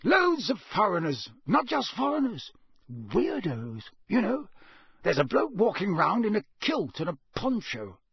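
A man voicing a gruff, suspicious middle-aged campsite owner who questions people bluntly. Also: high-pitched, wildly swooping pitch, a little slow, a bit bright, no room sound, pitched above the performer's own voice, full character voice.

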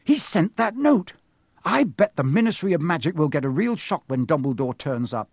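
Earnest, anxious schoolboy, read by a man, slightly raised pitch, storybook role. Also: lively intonation, moderate pace, slightly rough, no room sound, studio quality. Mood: urgent. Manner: urgent, earnest.

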